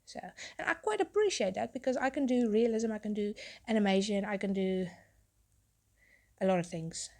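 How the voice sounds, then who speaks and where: A young woman talks through her sketch in a loose, rambling way, often breaking off mid-thought. Her voice is hoarse from a cold, with a gravelly, mellow tone. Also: mid-range voice, moderate pace. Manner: rambling, chatty, self-interrupting. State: losing her voice, sick. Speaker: tattoo artist and vlogger. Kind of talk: casual chat while drawing.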